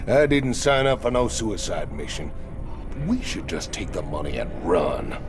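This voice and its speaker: An actor playing a gruff, cynical ex-convict space marine with a drawling, taunting Southern American delivery in a video game. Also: male voice, sits low, very animated, unhurried, over music. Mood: bitter. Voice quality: gravelly. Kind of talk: cinematic video game acting.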